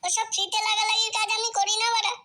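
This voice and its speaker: A brash cartoon village woman with an extremely high, squeaky voice. She is sassy and coarse in her comic delivery. The audio is very noisy. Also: level tone, quick pace. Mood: coy, teasing. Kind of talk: crude comic cartoon dialogue.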